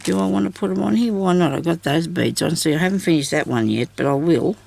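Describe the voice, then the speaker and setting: Older woman, deep raspy voice, gravelly fry, chatty craft vlog. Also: fast talker, a little mellow, a little hiss or hum. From Australia. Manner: chatty, self-deprecating, laughs often. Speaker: craft vlogger. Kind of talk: rambling casual chat while crafting.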